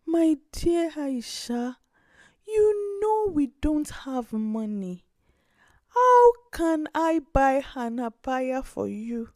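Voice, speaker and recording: A woman voicing a poor, gentle old grandmother in a storybook tale, weary and tender. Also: high-pitched, very animated, very slow, no room sound, studio quality, voice pushed up, heavily acted. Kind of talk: storybook character voice.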